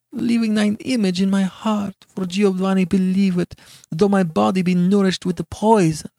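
A gentle, innocent young woman, as a male narrator puts her on, heartbroken and pleading in a raised pitch. She speaks in archaic, earnest phrases from a gothic storybook, close and dry on the recording. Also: creaky, dry recording, heavily acted. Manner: archaic, earnest, lamenting diction.

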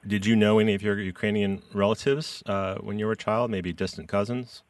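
A man's voice: lowish voice, fairly even intonation, a little fast, dry recording, distant mic. A man hosting a podcast, who reads a scripted introduction and then asks personal questions. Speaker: podcast host. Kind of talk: interviewing a guest.

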